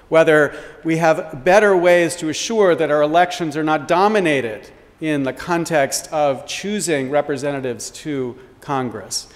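A middle-aged American man delivers a deliberate, formal conference address from a lectern. His voice sits a little high for a man. The podium mic catches the long reverb of a wood-panelled lecture hall around him. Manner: measured, deliberate, gestures while speaking at a lectern. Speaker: law professor giving opening remarks. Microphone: podium microphone.

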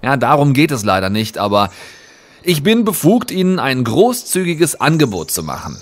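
A man's voice: mid-range voice, huge pitch swings, normal speed. A man playing an awkward, impatient young corporate negotiator in an audio drama, polite but stammering and pressing. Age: young adult. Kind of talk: German audio drama acting.

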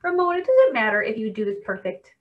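A woman's voice: fairly high pitch, wildly swooping pitch, quick pace. A woman in her thirties, a teacher reading a picture book to children at home with encouraging questions. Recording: distant, muffled home webcam recording.

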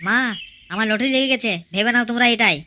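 Voicing a cocky nouveau-riche village youth. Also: female voice, fairly high pitch, quick pace, very noisy. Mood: boastful. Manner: cocky, crude, swaggering.

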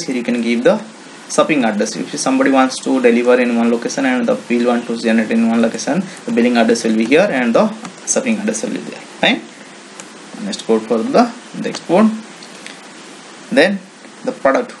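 A man with an Indian accent presenting an informal software walkthrough in halting, repetitive, non-native English phrasing. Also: mid-range voice. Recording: noisy home-made screen recording.

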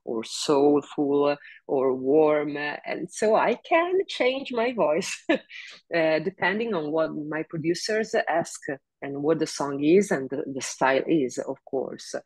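A middle-aged woman singer with a big, low speaking voice talks slowly on a video call. She halts often with fillers and breaks into laughs. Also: very animated, darkish tone, breathy edge, no echo. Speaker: singer, interview guest. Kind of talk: casual video call interview. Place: home room, seated in office chair.

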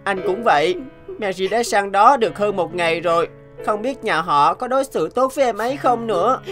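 A woman's voice: medium pitch, normal speed, faint music behind, natural voice. Woman voicing an earnest, caring young cartoon boy. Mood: gentle, caring. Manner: earnest, gentle. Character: caring, worried young boy, protective older brother. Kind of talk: dubbed cartoon dialogue.

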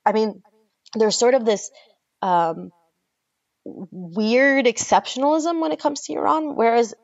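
A woman's voice: fairly high pitch, a little slow, vocal fry, darkish tone, no room sound. Earnest woman guest on dry lo-fi audio. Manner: earnest, argues through rhetorical questions. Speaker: academic guest. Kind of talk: conversational podcast interview. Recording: dry, dull lo-fi remote audio.

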